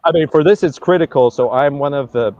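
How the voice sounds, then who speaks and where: A man, an event organizer, talking in a casual interview, halting and restarting often with many fillers. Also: a little high, a little mellow, lo-fi sound, slight background noise. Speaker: convergence organizer. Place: Thailand.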